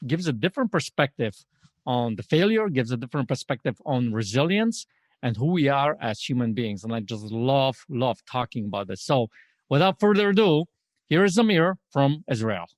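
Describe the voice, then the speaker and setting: A man's voice: medium pitch, sing-song, moderate pace, no room sound, studio quality. A man hosting a podcast in an upbeat, emphatic, motivational way, often posing rhetorical questions as he introduces and interviews. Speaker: podcast host.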